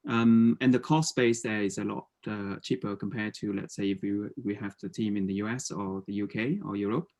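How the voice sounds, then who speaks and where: A man's voice: fairly low pitch, steady tone, normal speed, a little mellow. A man in his forties from Vietnam with a Vietnamese accent, presenting his startup on a video call, hesitant with many fillers and restarts. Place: home room with slanted ceiling.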